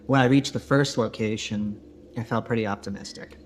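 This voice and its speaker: A man's voice: on the low side, fairly even intonation, fairly quick, natural voice. A man playing a weary lone survivor of an empty post-apocalyptic world, logging his journey in a subdued, matter-of-fact way. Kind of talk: found-footage horror narration. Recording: noisy found-footage audio with outdoor ambience.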